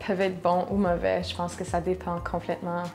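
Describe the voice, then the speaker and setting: A woman from Manitoba, a touring artist speaking in a measured way in a documentary interview. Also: lowish voice, monotone, a little mellow, soft background music. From Manitoba, Canada.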